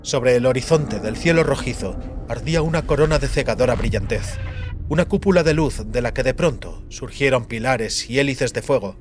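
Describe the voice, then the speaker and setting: A man reading a fantasy audiobook in a measured, dramatic storytelling manner. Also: medium pitch. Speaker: audiobook narrator. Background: background music.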